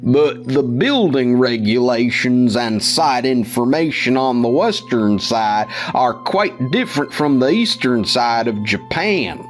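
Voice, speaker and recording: A man's voice: medium pitch, lively intonation, slow, few pauses. A man playing a conscientious, cautious office colleague in a manga dub, polite and earnest. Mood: concerned. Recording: music under the voice.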